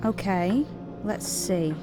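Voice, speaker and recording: A woman's voice: medium pitch, slow pace, lightly acted. An actress playing a puzzled, tentative woman in a video game, questioning and muttering to herself. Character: puzzled, tentative woman investigating a strange machine. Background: atmospheric game music.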